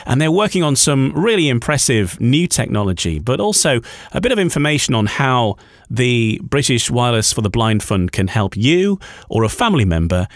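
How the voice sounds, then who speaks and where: A man's voice: medium pitch, big pitch swings, moderate pace, hardly any pauses, dry recording, clean studio sound. A man hosting a radio interview, forming his questions a little hesitantly and repeating words as he goes. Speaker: radio host.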